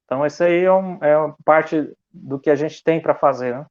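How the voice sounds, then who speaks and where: A man's voice: a little high, a little mellow, lo-fi sound. A male missionary speaking in a conversational church interview, hesitant and full of restarts. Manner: halting, many restarts and fillers.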